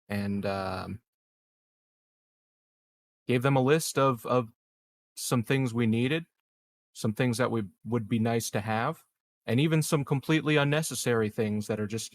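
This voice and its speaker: A man improvising in-character conversation as a roleplaying player, phrasing things in a measured, halting way. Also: mid-range voice.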